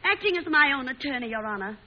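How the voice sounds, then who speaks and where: Defiant sharp-tongued lady, indignant and sarcastic, swooping high voice, old radio drama, muffled hiss. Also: big pitch swings, fast. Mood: determined. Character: fiery, defiant, sharp-tongued woman newspaper publisher on trial.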